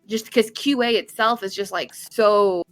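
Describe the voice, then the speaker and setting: A woman chatting casually as a guest game developer, explaining things in a halting, thinking-aloud way. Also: mid-range voice, no room sound, faint music behind.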